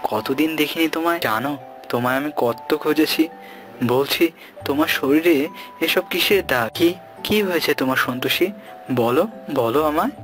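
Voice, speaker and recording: A man playing a lovesick young prince in a cartoon story, melodramatic and desperate, halting and repeating his words. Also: a little high, a bit bright, faint music behind, close to an everyday voice. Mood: shocked grief. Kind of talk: dramatic cartoon story acting.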